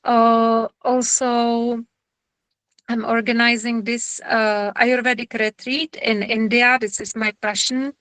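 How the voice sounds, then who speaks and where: A woman with a Czech accent speaks slowly and a little high, pausing often as she searches for words. Her tone is friendly and informal, like a therapist answering questions after an online lecture. The video-call sound is dry and close. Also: flat delivery, fairly bright tone, clear, resonant tone, dry recording, low-quality recording. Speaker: somatic therapist giving a lecture.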